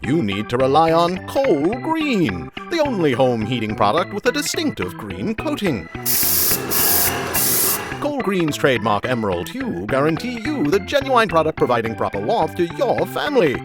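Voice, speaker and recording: An actor playing a florid, showy radio serial announcer and sponsor pitchman in a 1930s style. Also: wildly swooping pitch, normal speed. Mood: hearty selling. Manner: florid, alliterative, showy salesmanship. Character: grandiose male old-time radio announcer and sponsor pitchman. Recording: music bed behind the announcer.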